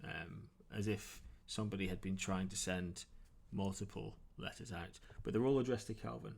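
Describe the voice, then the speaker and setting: A man narrating a tabletop role-playing game as game master, describing things in a halting, improvised, conversational way. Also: on the low side, vocal fry, a little mellow, husky.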